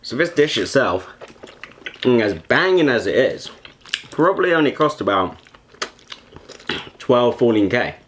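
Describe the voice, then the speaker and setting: A man's voice: mid-range voice, very animated, a little slow, long pauses, a little hiss or hum. A young man, chatting casually about his home cooking in a laid-back, slangy, joking way. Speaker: home cook.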